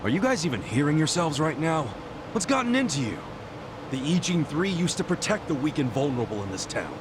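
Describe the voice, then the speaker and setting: A man's voice: a little high, very animated, brisk. An actor playing a hot-blooded, earnest man in a video game, speaking bluntly and passionately in slangy English over background noise. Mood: indignant, rallying. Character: hot-blooded earnest man rallying reluctant underworld leaders.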